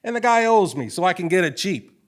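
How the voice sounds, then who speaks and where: A man's voice: sits high, very animated, fairly quick. A man playing a shady, folksy wheeler-dealer with sly, rambling patter in a comic stage audio play. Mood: conspiratorial. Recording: live theatre stage recording.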